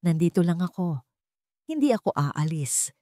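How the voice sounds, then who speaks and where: A woman voicing a grieving husband in a dramatic story, gentle, forgiving and soft in manner. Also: fairly low pitch, sing-song, normal speed, no echo, clean studio sound. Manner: soft, tender. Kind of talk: dramatic story character reading.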